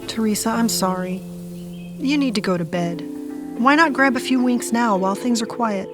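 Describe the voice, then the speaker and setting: Wry, higher-voiced woman played by an actress. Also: normal speed, music in the background, lightly acted. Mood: firm, concerned. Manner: wry, colloquial, sardonic. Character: wry, restless, plain-spoken woman, stubborn and sardonic. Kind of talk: audio drama acting.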